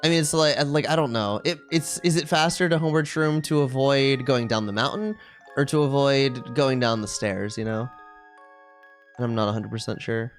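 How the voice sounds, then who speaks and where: A video game streamer chatting casually with viewers on a live stream, rambling and thinking out loud. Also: medium pitch, moderate pace, faint music behind.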